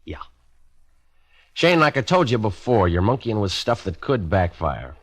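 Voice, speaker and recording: Sly male inspector, husky lowish voice, laconic veiled menace, vintage radio detective show. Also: very animated, unhurried. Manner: casual, veiled warnings, laconic. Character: sly, cagey male police inspector, quietly threatening. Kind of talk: old-time radio drama acting.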